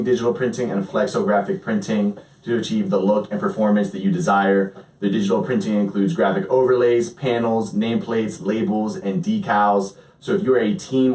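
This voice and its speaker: Upbeat male podcast host, low voice. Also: a little mellow. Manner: upbeat, with fillers and repeats. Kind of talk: podcast host, sponsor read and interviewing. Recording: roomy, distant mic.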